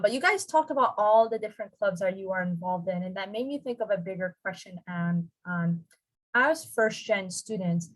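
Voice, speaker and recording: A woman's voice: medium pitch, low-quality recording. This is a woman in a middle register moderating a webinar over a video call. She speaks in an upbeat, friendly way as she brings in each panelist.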